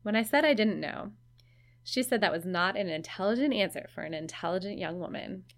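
A woman's voice: medium pitch, lively intonation, a little fast. A woman hosting a podcast, narrating in a conversational, lightly self-deprecating way. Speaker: podcast host.

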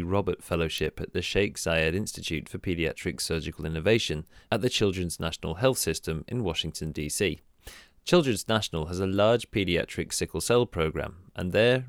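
A man narrating an introduction and asking interview questions in a measured way. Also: low-pitched, creaky, no room sound, clean studio sound.

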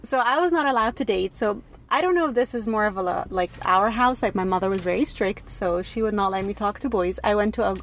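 A woman's voice: medium pitch, fast, few pauses, slight background noise. A young adult woman from Egypt, chatting candidly as a podcast guest, laughing often and restarting her sentences. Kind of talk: casual interview chat.

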